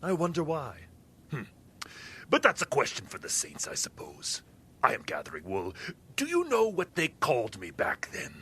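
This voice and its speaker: Smug, wildly swooping crime boss. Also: male voice, medium pitch, wildly swooping pitch, normal speed, a bit bright, a little hiss or hum. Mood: gloating, sly. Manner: pompous, taunting, swooping between murmurs and flourishes. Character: theatrical male crime boss posing as legitimate businessman, smug, sly. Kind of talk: audio drama acting.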